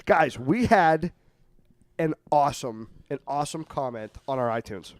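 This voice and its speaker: A man's voice: fairly high pitch, animated, normal speed, over a noisy crowd. A man hosting a casual podcast, reading quiz facts with mock drama and joking with his co-hosts. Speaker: podcast host.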